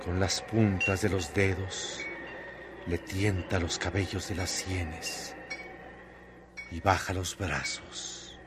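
A man's voice: low voice, little pitch movement, takes it slowly. A man performing as a brooding narrator of a literary radio drama, speaking in a poetic, dramatic way. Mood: somber, lyrical. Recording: very noisy radio drama recording.

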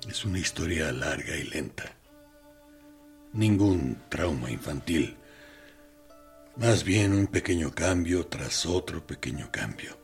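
An actor playing a male torturer captain in a radio drama, measured and defensive, justifying himself with quiet intensity. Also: rumbling low, lively intonation, faint music behind, exaggerated voice.

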